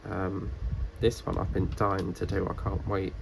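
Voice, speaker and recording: A man with a fairly low, gravelly voice talks in a rambling, casual way, full of fillers and restarts. His voice is croaky and stuffed up from a cold. A faint hiss sits behind him. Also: little pitch movement, unhurried. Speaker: diamond painting vlogger. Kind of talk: casual chat while crafting.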